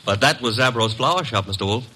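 Casting: man playing a wry noir sidekick, snappy, forceful bright mid-range voice, vintage radio drama. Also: mid-range voice, fast talker, slight background noise, natural voice. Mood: wry teasing. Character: wry, brisk male detective's assistant and narrator. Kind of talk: 1950s radio drama acting and noir narration.

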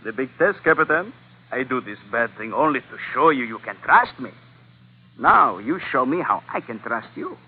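Menacing foreign villain on tinny old radio. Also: male voice, mid-range voice, sing-song, normal speed, husky. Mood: testing, menacing. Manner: broken English, imperious and sly. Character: menacing foreign gunman villain, short-tempered schemer. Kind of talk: 1940s-50s radio adventure acting. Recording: narrow-band vintage radio recording, faint noise.

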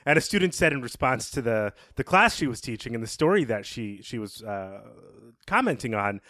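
A man's voice: medium pitch, sing-song, moderate pace, pushed and bright, no room sound, clean studio sound. A man co-hosting a podcast, giving conversational literary commentary in an appreciative, thoughtful, analytical way. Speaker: podcast co-host.

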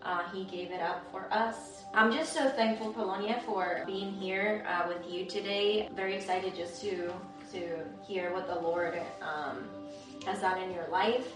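A woman's voice: medium pitch, fairly even intonation, unhurried. Female faith-show host, earnest delivery, distant roomy recording with faint music. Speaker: interviewer and host. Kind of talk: livestream testimony interview with scripture reading. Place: indoors in a guest's home. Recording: echoey room, distant mic, music.

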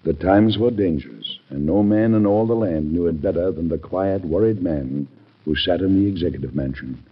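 A man narrating an old radio drama from a script in a grave, measured storytelling manner. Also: low voice, a little hiss or hum. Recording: muffled old radio broadcast.

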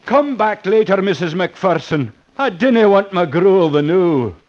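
An actor in an old radio drama playing a smooth-talking, pompous Scottish schoolmaster who woos in florid, poetic phrases. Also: male voice, high-pitched, expressive, unhurried. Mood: loud, annoyed. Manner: florid, poetic, theatrical wooing. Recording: muffled old radio recording, hiss, clicks.

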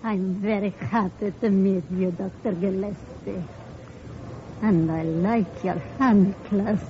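Woman acting a gentle gracious blind widow in an old radio show, takes her time, muffled hissy sound. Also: mid-range voice, steady tone, slow pace, close to an everyday voice. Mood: welcoming. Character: gracious, serene blind widow running a restaurant. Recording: dull, noisy old radio recording.

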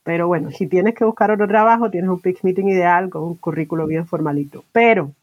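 A woman's voice: lowish voice, fairly quick, highs cut off. A woman hosting an informal bilingual podcast interview, mixing English words into her Spanish. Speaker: podcast host interviewing a guest.

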